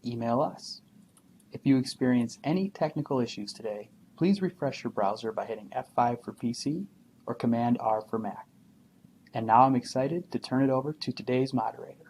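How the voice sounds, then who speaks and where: A man reads scripted instructions in a smooth, even announcer style. There is a faint hiss behind him. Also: medium pitch, normal speed. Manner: even, polished announcer reading. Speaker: instructional video narrator. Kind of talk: scripted narration.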